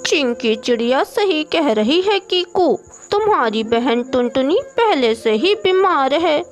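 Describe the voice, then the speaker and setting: Blunt crow playmate for kids' animation, acted by a woman: high pitch, deliberate pace. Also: big pitch swings. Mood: scornful. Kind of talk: children's cartoon dubbing in Hindi. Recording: music behind the voice.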